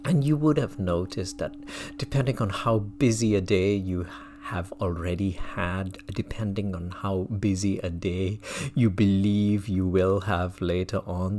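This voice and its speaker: A man with a low-pitched voice leads a guided mindfulness meditation. He speaks very slowly, leaving long pauses between measured phrases. He often repeats words as he gives his instructions. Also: a little mellow. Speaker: meditation teacher.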